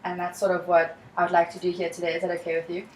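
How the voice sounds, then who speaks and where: A young South African woman with a slightly low voice speaks in a calm, measured, reassuring way. She leads a counseling practice session in an echoey room with a faint hiss behind her. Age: young adult. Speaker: student counselor.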